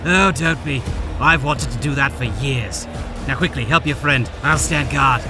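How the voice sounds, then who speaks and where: A man's voice: medium pitch, a little slow, over music, close to an everyday voice. A man playing a resolute, earnest rebel slave guard in an audio drama. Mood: urgent.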